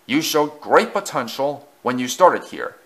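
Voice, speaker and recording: A man playing a stern, disappointed railway controller in a children's story, booming and reproachful. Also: expressive, a little slow, a bit bright.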